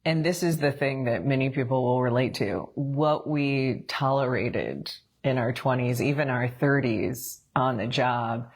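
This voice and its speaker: A woman's voice: rumbling low, unhurried, hardly any pauses. A woman, co-hosting a casual morning talk show and chatting, laughing easily. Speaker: co-host.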